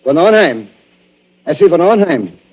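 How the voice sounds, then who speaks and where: Husky, anxious gentleman. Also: fairly high pitch, very animated, unhurried, heavily acted. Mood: uneasy, polite. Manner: agitated, hesitant, polite. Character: anxious, courteous gentleman, a worried and haunted husband. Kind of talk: 1940s radio mystery acting. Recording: muffled old 1940s radio recording.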